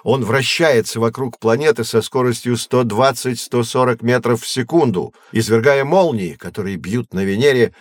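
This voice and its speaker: A man playing a patient, learned astronomer who guides children in an educational audio drama, measured and didactic. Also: medium pitch, no room sound, clean studio sound, close to an everyday voice. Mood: explaining. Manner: measured, didactic, clearly enunciated.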